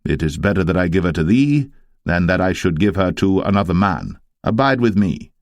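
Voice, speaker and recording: Man acting a low-voiced, shrewd biblical patriarch. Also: animated, moderate pace, no room sound. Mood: affable bargaining. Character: Laban, shrewd older male patriarch bargaining with kin. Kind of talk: dramatised scripture reading.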